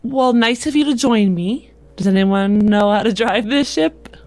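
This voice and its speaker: A woman's voice: a little high, unhurried, a little mellow, dry recording. A woman playing a tabletop roleplaying game, casually speaking as and about her character.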